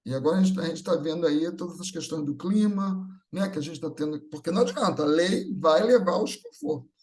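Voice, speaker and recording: A man's voice: fairly high pitch, lively intonation, a little fast, a little mellow. A Brazilian man giving an extemporaneous religious talk in an earnest, reassuring manner, often building through repeated phrases. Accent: Brazilian Portuguese. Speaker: guest speaker at a Spiritist gathering.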